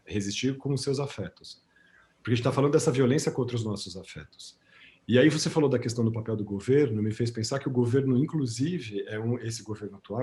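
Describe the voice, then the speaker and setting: A man with a Brazilian Portuguese accent speaking on a video call, reflecting slowly in conversation, with many pauses and restarts. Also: mid-range voice, a little mellow.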